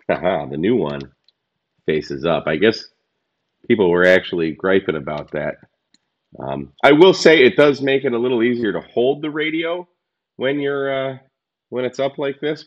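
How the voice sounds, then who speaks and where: A man in his thirties with a low voice talks through radio gear in a relaxed, rambling way, full of fillers and restarts. He sits in a home office, recorded by a desk camera at a medium distance. The sound is slightly dull and lo-fi. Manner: chatty, loose, lots of fillers and restarts. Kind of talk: casual product walkthrough. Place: home office room, indoors.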